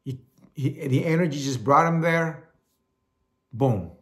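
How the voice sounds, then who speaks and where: A man's voice: a little high, slow pace. Salvadoran-accented man, rambling host monologue. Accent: Salvadoran Spanish. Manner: rambling, repeats words, acts out quoted voices. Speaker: talk show host. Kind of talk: casual monologue.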